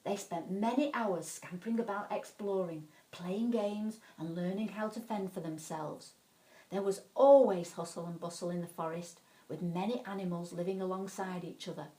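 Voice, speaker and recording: A woman narrating a children's bedtime story in an expressive, dramatic way, leaning on key words. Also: on the low side, moderate pace, poor audio.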